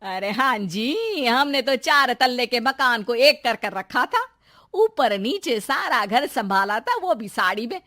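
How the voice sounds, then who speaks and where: A woman's voice: fairly high pitch, sing-song, unhurried, bright, forceful tone, dry recording, studio quality, exaggerated voice. A woman voicing a dramatic, fretting, bossy middle-aged mother-in-law in a cartoon story. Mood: scolding. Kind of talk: cartoon moral-story voice acting.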